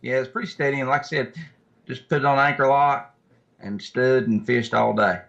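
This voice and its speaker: A man's voice: mid-range voice, steady tone, normal speed, gravelly, a bit bright. A man speaking as a guest, an angler explaining things casually in a plain-spoken, informal way, recorded in dull lo-fi sound. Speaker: guest angler, tournament fisherman. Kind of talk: casual chat, recounting and explaining.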